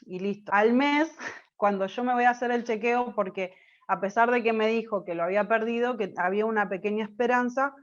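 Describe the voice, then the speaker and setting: Soft-spoken Argentine woman, hesitant. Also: a little high, a little fast, muffled recording. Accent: Argentine Spanish. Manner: hesitant, many fillers and restarts. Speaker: interview guest. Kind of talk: personal testimony in conversation.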